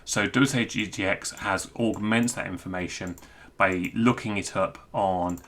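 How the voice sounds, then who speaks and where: A man giving an informal technical screencast walkthrough, thinking aloud in a halting, self-interrupting way. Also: sits low, fairly even intonation, fairly bright tone. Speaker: presenter explaining technical material.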